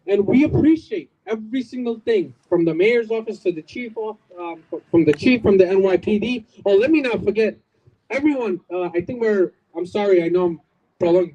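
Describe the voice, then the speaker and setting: Bangladeshi-born guy speaking outdoors to a crowd, high voice, repeats himself, cracks little jokes. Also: dark tone, slightly rough, lo-fi sound. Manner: emphatic, repetitive, rallying with asides and jokes. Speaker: community organizer giving a speech. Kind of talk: outdoor public speech. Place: outdoors at a street corner.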